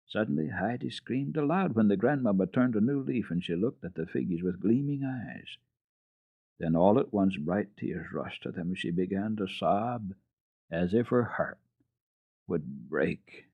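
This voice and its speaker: Expressive male storyteller, tinny vintage radio sound. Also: on the low side. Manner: expressive, deliberate. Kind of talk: dramatic reading of children's classic. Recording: narrow-band, echoey old radio recording.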